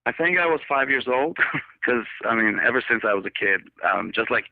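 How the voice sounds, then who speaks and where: A man's voice: mid-range voice, expressive, normal speed, no room sound. A man from Israel, a singer guest on a radio interview, talking in a hesitant way with many ums and restarts. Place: studio. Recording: tinny phone line.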